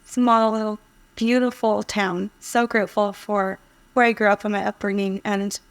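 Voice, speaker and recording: A woman's voice: mid-range voice, level tone, moderate pace, smooth. A middle-aged woman from Hawaii, a podcast guest telling her personal story conversationally in an earnest, reflective way. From Hawaii, United States. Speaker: podcast guest, fashion influencer.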